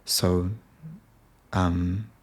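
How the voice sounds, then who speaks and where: A Japanese man speaking English with a Japanese accent over a British base, talking alone on his daily podcast in a halting way, often restarting and repeating phrases. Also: low-pitched, flat delivery. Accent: Japanese-accented English aiming at British. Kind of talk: daily solo podcast talk.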